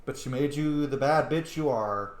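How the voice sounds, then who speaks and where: A man doing casual, excitable gameplay commentary, informal and quick to swear. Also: mid-range voice. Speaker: let's play streamer. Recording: roomy echo.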